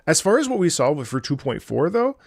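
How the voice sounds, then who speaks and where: A man with a creaky, gravelly edge to his voice talks through his thoughts on a game in a loose, rambling way. He sounds like an enthusiastic streamer speaking off the cuff. The sound is close and dry, with very little room on it. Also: a little high, very animated, fairly quick, dry recording. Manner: rambling, thinking aloud. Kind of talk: casual off-the-cuff game commentary.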